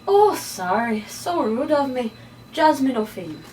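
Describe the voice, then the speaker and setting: Woman acting playful magic-shop keeper, very expressive. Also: high-pitched, measured pace. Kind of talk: script table read. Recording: distant mic, noisy room.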